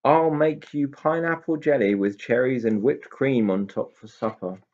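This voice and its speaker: A man voicing a strict, brisk aunt from a children's story, firm and no-nonsense. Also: mid-range voice, darkish tone, muffled recording, pitched above the performer's own voice, lightly acted. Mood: pleased. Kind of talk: children's storybook character reading.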